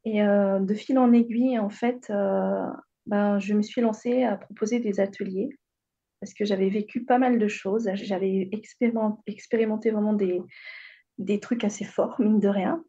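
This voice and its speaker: A woman in her thirties from Réunion recounts her story as a radio guest, pausing often with fillers. Her voice is mellow and slightly breathy. The sound comes through a muffled, lo-fi line. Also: mid-range voice, fairly even intonation. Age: 30s. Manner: hesitant, frequent fillers, gentle storytelling. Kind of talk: interview, personal story.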